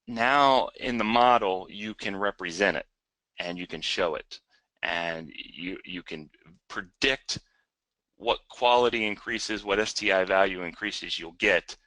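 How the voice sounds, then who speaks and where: Male webinar presenter, lowish bright voice, dry close sound, halting unscripted technical delivery. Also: no room sound, rough recording. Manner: hesitant, halting delivery with repeated words.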